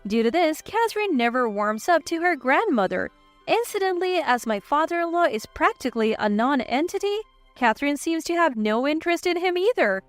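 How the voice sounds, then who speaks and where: A woman reading a scripted first-person family drama in an animated, dramatic narrating manner. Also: sits high, brisk, dry recording, soft background music. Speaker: story narrator.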